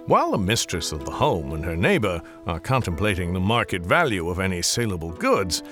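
Wildly expressive male storybook narrator. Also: sits low. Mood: wry, droll. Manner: wry, ornate, deliberate storytelling. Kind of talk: scripted dramatic narration. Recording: music clearly behind.

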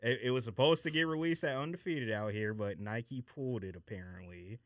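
A man's voice: mid-range voice, a little fast, fairly bright tone, dry recording, clean studio sound. A man chatting casually as a podcast guest, giving skeptical, informal opinions about sneakers. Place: dry studio.

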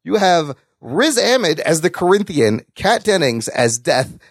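A man hosting a podcast, chatting casually about news with co-hosts in an excitable, sweary way. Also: on the high side, a little slow, no room sound, studio quality. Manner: excitable, profane. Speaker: podcast host.

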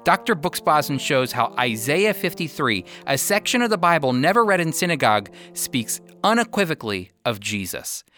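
A man reading a scripted promotional narration as an announcer, with polished, clearly articulated delivery. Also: fairly high pitch, sing-song, normal speed, hardly any pauses, pushed and bright. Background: background music.

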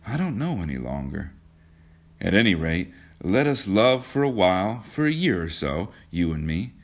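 A man voicing an earnest, romantic young man in a storybook reading. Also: lowish voice.

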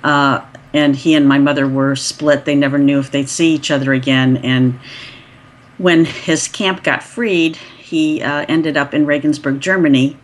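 A woman's voice: low voice, fairly even intonation, unhurried. Older lady guest on a podcast, chatty, lots of ums, telling a story. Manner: storytelling, many fillers and restarts. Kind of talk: conversational interview.

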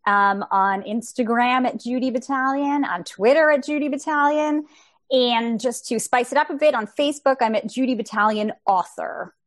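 A woman's voice: a little high, moderate pace, no echo, clean studio sound. A woman in her forties from Canada, an author telling her story in an interview in a friendly, articulate way. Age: 40s. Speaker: author guest. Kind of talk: interview answers.

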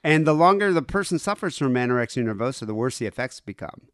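A man's voice: mid-range voice, very animated, fast, fairly bright tone, no room sound, studio quality. A man co-hosting a casual comedy podcast, reading items aloud and laughing often. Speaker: podcast co-host.

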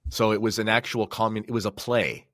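A man's voice: fairly low pitch, fairly even intonation, a little fast, fairly bright tone, no room sound, studio quality. A man giving enthusiastic, explanatory commentary in a conversational podcast style. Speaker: podcast guest or co-host commentator.

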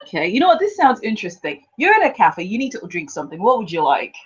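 A woman hosting a casual interview podcast, chatty, rambling and self-deprecating, her voice husky from a cold. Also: medium pitch, wildly swooping pitch, fast talker, highs cut off. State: has a cold. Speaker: podcast host.

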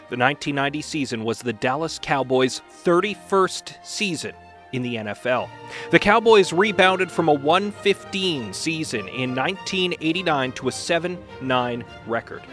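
Male podcaster with a medium pitch. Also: expressive, moderate pace, a bit bright, soft background music. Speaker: podcast co-host. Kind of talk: casual podcast banter.

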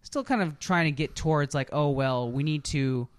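A man's voice: fairly high pitch, normal speed, no echo. Man chatting in a dry, close sound. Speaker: podcast host. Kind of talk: casual chat about video game movies.

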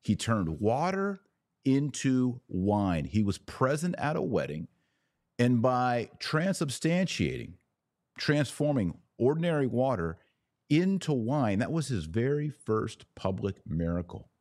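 A man's voice: medium pitch, sing-song, a little slow, a little mellow, no room sound, clean studio sound. An American man hosting a podcast, giving emphatic political and religious commentary and explaining point by point. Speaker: podcast host.

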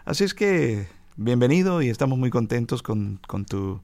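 A man's voice: mid-range voice, big pitch swings, normal speed, a little mellow, no room sound. A man hosting a radio program, welcoming listeners and a guest in a friendly, rambling way with some fillers. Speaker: radio host. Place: radio studio.

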